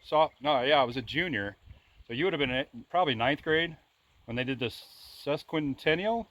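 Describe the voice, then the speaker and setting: A man's voice: fairly high pitch, animated, a little fast, highs cut off. A man in his forties with a Midwestern American accent, chatting casually as a vlogger outdoors. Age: 40s. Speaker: vlogger interviewing a friend. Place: outdoors at an old rural bridge.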